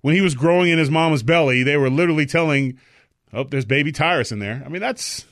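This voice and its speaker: A man co-hosting a talk show, chatting casually, joking and rambling, with sudden loud excited outbursts. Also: on the high side, fast talker, no room sound, clean studio sound. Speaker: co-host.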